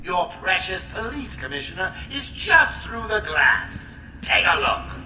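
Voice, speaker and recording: A man's voice: sits high, big pitch swings, a little slow, roomy sound, distant mic, background noise. An actor playing a taunting, theatrical male clown villain in a video game. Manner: mocking, theatrical, gleeful.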